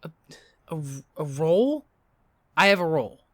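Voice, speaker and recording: A man playing a blunt, bewildered and wary young man in an audio drama, with halting, incredulous delivery. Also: on the high side, big pitch swings, no echo. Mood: bewildered, frustrated. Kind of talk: audio fiction podcast acting.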